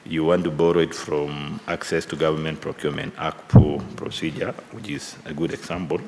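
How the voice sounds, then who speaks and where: A man questioning presenters at a parliamentary hearing, halting and probing, often restarting his sentences. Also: extremely low pitch, level tone. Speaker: committee member questioning presenters. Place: large hearing room. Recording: long hall reverb, faint room noise.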